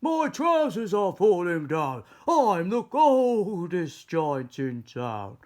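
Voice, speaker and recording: Man playing an emphatic, kind-hearted giant, pitched up. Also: very animated, slow, heavily acted. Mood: dismayed. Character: cheerful, kind-hearted young male giant.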